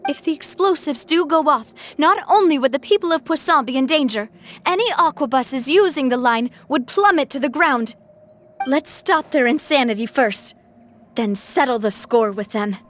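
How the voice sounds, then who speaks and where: A woman's voice: high voice, expressive, normal speed. An actress playing a confident young woman leader who talks urgently and decisively, in a game cutscene. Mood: determined.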